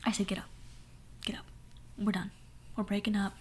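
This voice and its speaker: A young woman with a hoarse voice telling a sassy, dramatic story in a soft whisper. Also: medium pitch, flat delivery, normal speed, slight background noise. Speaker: ASMR storyteller. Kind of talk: whispered ASMR story time.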